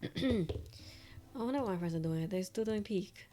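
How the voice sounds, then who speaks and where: A woman's voice: medium pitch, lively intonation, moderate pace, mellow, soft background music. A young woman with an Australian accent, chatting loosely with viewers on a stream, full of fillers and the odd swear word. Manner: loose and rambling, with casual swearing and many fillers. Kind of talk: casual stream chat.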